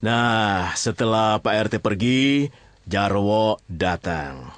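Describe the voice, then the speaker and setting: A man's voice: fairly low pitch, lively intonation, takes it slowly, a bit bright. A man playing an easygoing, teasing storyteller in a conversational drama, with a Jakarta accent. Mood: easygoing narrating. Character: easygoing teasing male storyteller among friends. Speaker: storyteller narrator.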